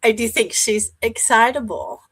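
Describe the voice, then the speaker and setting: A woman's voice: on the high side, animated, normal speed, fairly bright tone, breathy edge. Enthusiastic woman guest on a panel.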